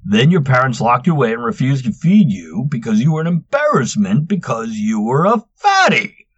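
A man voicing a teasing, blunt barbarian warrior, speaking loud and lively. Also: low voice, takes it slowly, husky, lo-fi sound, heavily acted. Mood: mocking. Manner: mocking, blunt.

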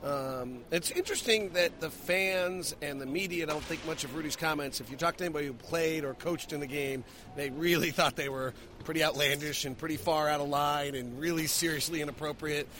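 A man's voice: on the high side, fairly quick, sharp tone, slight background noise. A man hosting a sports postgame podcast, talking emphatically as a broadcaster does. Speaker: podcast host, radio play-by-play broadcaster.

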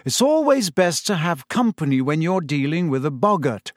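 A man voicing a kindly, wry male teacher who speaks in a gentle, measured, amused way. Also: on the high side, expressive, no echo, studio quality, lightly acted. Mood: patient, instructive.

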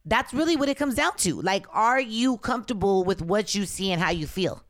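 A woman with a raspy voice co-hosting a podcast, chatting bluntly and candidly and slipping into Spanish now and then. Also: mid-range voice, lively intonation, fairly quick, a bit bright, no room sound, studio quality. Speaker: podcast co-host. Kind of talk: casual chat.

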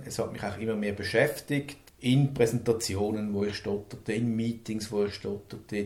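A man's voice: fairly low pitch, dark tone, echoey room. A man, an interview guest speaking earnestly about his life, stuttering and repeating words. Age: 50s. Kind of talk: personal interview.

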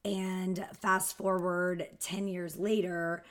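A raspy-voiced woman in her thirties from Northern Virginia, an upbeat, motivational fitness business founder chatting as a podcast guest. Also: fairly low pitch, level tone, slow pace, fairly bright tone. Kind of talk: casual interview chat.

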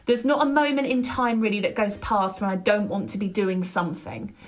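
A woman hosting her own podcast, talking alone in an energetic, chatty way with a loud voice and frequent ums. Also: mid-range voice, brisk. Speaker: podcast host. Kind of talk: solo podcast monologue.

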